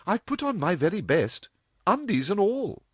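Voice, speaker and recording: A man's voice: high voice, sing-song, moderate pace, breathy edge, no echo, clean studio sound, pitched above the performer's own voice, exaggerated voice. A man reading aloud and voicing an impudent, teasing young woman who talks flippantly to her mother. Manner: playful, cheeky, flippant.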